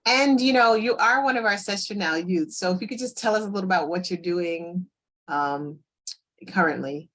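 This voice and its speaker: A woman's voice: lowish voice, moderate pace, a bit bright. A woman hosting an interview, asking questions enthusiastically and rambling with many fillers. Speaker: interview host.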